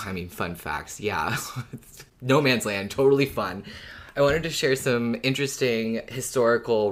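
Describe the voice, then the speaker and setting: A man's voice: on the low side, fairly bright tone. A man co-hosting a podcast, explaining things informally with conversational asides. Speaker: podcast co-host.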